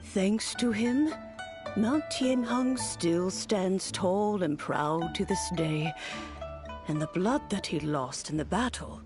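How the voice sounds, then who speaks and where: A woman voicing a calm, wise storyteller in an anime game, measured and solemn, gently wry. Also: medium pitch, over music, natural voice.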